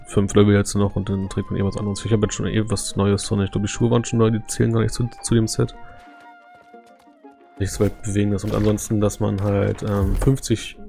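A man's voice: fairly low pitch, creaky, warm tone. A man giving casual, laid-back live gameplay commentary as a streamer, in colloquial language. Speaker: game streamer.

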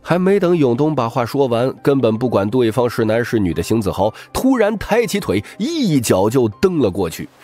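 A man's voice: medium pitch, very animated, normal speed, few pauses, warm tone, faint music behind. A man narrating scripted fiction as a dramatic audiobook reader.

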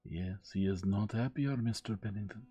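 A man's voice: on the low side, warm tone, slightly rough, faint music behind, pitched above the performer's own voice. A man voicing a courteous, formal detective in an audiobook mystery. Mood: politely probing. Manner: polite, formal, deliberate. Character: courteous, authoritative foreign male detective.

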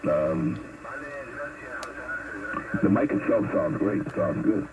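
A man talking over a CB radio link, relaxed and helpful with many fillers. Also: slow pace, highs cut off, a crowd in the background. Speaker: distant CB radio operator. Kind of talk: CB radio contact. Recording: noisy CB radio reception.

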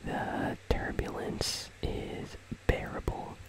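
A gaming channel host speaking entirely in a soft, breathy, unvoiced whisper, giving casual, rambling ASMR commentary. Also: slow, rough recording. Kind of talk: ASMR whispered commentary on a game item shop.

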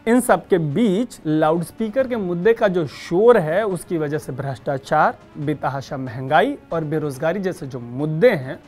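A man presents news with a lively, swinging intonation. He explains things in an emphatic, conversational manner, with music faintly behind him in the studio. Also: on the high side, unhurried, few pauses. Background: background music.

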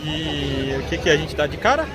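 A man's voice: on the high side, very animated, moderate pace, rough recording. A man in his thirties with a Brazilian Portuguese accent, casually vlogging outdoors from a phone at arm's length. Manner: chatty, pointing things out while walking. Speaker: travel vlogger. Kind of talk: casual vlog narration. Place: outdoors in a busy town square and park. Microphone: phone held at arm's length. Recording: crowd and street noise.

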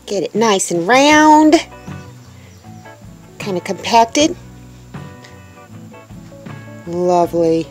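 A woman's voice: mid-range voice, expressive, takes it slowly, soft background music. A woman making a homestead vlog, chatting casually to her viewers as she shows a cooking step by step. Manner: chatty, upbeat, talks to viewers as folks. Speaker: homestead vlogger. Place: indoors in a small tiny-house kitchen.

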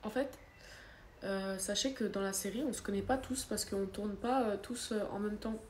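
A woman's voice: medium pitch, normal speed. Young woman with a cold, livestreaming. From France. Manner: chatty, reads and answers comments aloud. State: has a cold. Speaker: livestreamer answering viewer comments. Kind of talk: casual live chat. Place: living room, seated on a sofa, indoors. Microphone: phone camera at arm's length. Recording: echoey room with faint background noise.

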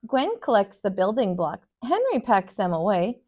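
An American woman in her thirties, a teacher reading a picture book aloud to children in an expressive, sing-song way. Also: medium pitch, moderate pace, husky. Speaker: kindergarten teacher, storyteller. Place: small furnished room, indoors.

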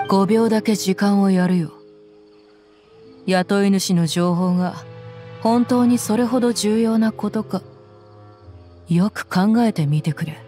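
A woman's voice: medium pitch, slow pace, long pauses, dark tone, faint music behind, lightly acted. An actress playing a tough, confident young woman mercenary in a Japanese anime game, with blunt, intimidating phrasing. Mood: cool, threatening.

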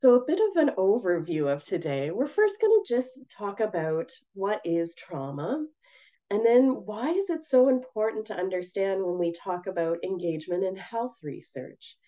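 Woman presenter, hoarse and raspy, mellow gentle delivery, slightly muffled call audio. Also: medium pitch, sing-song. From Canada. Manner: gentle, measured, with frequent ums. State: hoarse from a cold. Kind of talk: webinar presentation. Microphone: video call.

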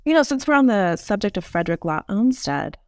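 A woman's voice: mid-range voice, very animated, fairly quick, a little mellow, no echo, studio quality. A woman giving thoughtful, articulate explanations as an interview guest, weighing her points with care. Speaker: podcast guest, urban design expert.

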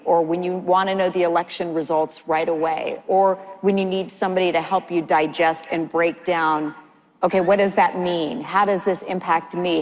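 A woman in her forties, a news anchor, moderating a panel on stage in a chatty, animated way with a lapel mic. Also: lowish voice. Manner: animated, gesturing, chatty with fillers. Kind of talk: conversational panel talk. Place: large hall stage. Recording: long hall reverb, faint room noise.

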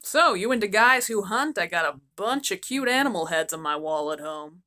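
Woman as goofy, smug prince, lively delivery. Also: mid-range voice, moderate pace. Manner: dim, self-amused.

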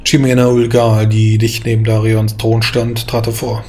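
A man reading scripted dark fantasy narration as a storyteller, measured and solemn. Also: on the low side, completely flat delivery, soft background music. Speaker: storyteller, game master.

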